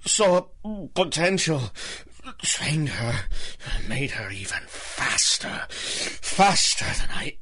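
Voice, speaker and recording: A mortally wounded scoundrel for a radio play. The delivery is extremely slow and broken by weak gasps and coughs. The strained voice is rough and breathy, yet edged and tense, full of regret. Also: male voice, medium pitch, sing-song, sharp tone, heavily acted. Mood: pained, regretful. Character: dying male scoundrel, regretful, old friend of the hero. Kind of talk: radio drama acting.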